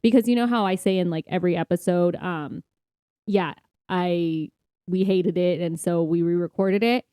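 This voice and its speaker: A woman's voice: lowish voice, moderate pace, warm tone, clean tone, no echo, studio quality. A woman co-hosting a podcast in casual chat, with an upbeat manner and a readiness to laugh as she talks. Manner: upbeat, laughs often while talking. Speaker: podcast co-host.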